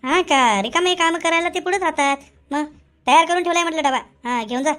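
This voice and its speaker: A woman's voice: extremely high pitch, brisk, fairly bright tone. A woman voicing a grumbling, nagging village housewife in a comedy cartoon. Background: faint music.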